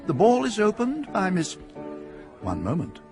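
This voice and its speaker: Male narrator, wry measured storytelling, animated intonation, music beneath. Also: high-pitched. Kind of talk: scripted radio drama narration.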